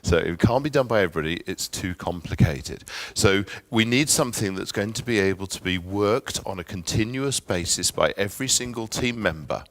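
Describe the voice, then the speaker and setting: A deep-voiced middle-aged Englishman with an English accent presents a workshop to a conference room. He talks in a friendly, chatty way, with light asides and filler ums, and the sound is dry and close with little room echo. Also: lively intonation, moderate pace, few pauses. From England.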